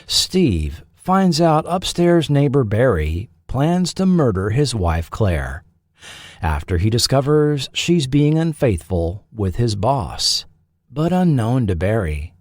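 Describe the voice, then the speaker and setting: A man hosting and narrating a scripted documentary podcast, with a measured delivery and dramatic pauses. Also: mid-range voice, very animated, darkish tone, no room sound, clean studio sound.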